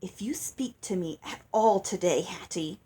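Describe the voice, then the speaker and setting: A woman's voice: lowish voice, measured pace, mellow, husky. A woman voicing a resentful, guarded teenage girl in a storybook, speaking in a terse, direct way. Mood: hissing threat. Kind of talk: audiobook character voice.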